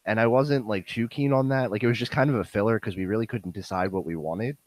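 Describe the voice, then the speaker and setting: A young man chats on a podcast in a hoarse, nasal, bassy voice that is close to wearing out. His pitch sits a little low and he rambles with many fillers. The sound is dry and close. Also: fast talker, no room sound. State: hoarse, voice going. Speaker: podcast host. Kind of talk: casual podcast chat.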